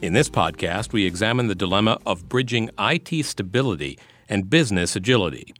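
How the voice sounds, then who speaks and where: A man hosting a podcast, reading a smooth scripted introduction and asking interview questions. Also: on the low side, lively intonation, brisk, fairly bright tone, no room sound. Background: music.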